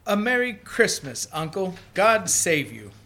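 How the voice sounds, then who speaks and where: A warm-hearted young nephew acted by a woman in a radio play. The voice is bright and forward, earnest and cheerful, pleading with real good will. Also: lowish voice, big pitch swings, unhurried, gravelly, heavily acted. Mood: cheerful, protesting. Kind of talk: live radio play reading.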